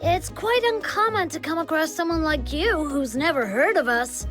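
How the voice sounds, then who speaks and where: A woman's voice: high voice, lively intonation, normal speed. A woman voicing a cheerful, well-traveled little fantasy creature in a video game, chatty and exclamatory. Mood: chatty, knowing. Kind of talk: video game character acting. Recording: music behind the voice.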